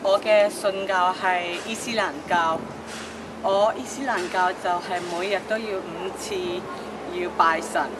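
Teen boy, slow plain delivery, loud outdoor noise. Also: sits high, fairly even intonation. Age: teens. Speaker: student interviewee. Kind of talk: self-introduction to camera. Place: outdoor school garden.

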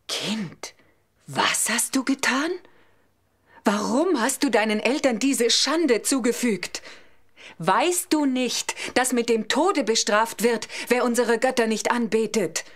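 A woman's voice: on the high side, slow pace. An actress playing a worried mother in an audio drama, reproaching and pleading with her grown daughter. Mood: worried, reproachful. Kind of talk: German audio drama acting.

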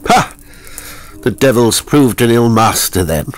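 A man's voice: mid-range voice, animated, a little slow, voice pushed up, natural voice. A man voicing a sullen, drunken, debauched nobleman with a coarse, sneering manner in a horror story. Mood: bitter gloating.

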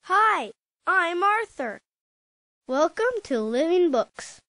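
A woman voicing an eager, excitable young schoolboy in a children's storybook game. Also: very high voice, slow, dry recording, full character voice.

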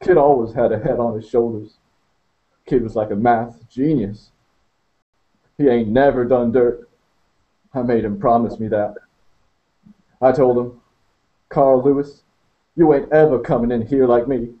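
A man putting on a gruff, streetwise inmate who drawls in rough dialect, speaking somewhat higher than the narrator's own deep voice. The recording sounds slightly dull. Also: steady tone, measured pace, long pauses, natural voice. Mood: reminiscing.

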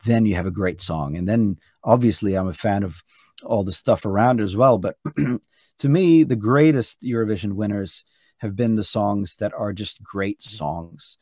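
A middle-aged man with a Norwegian accent, a bit hoarse, chatting eagerly in an interview over a video call with many restarts. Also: lowish voice, normal speed, a little mellow, no echo, clean studio sound. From Norway. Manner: chatty, enthusiastic, frequent restarts. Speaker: singer and contest entrant. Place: hotel room indoors.

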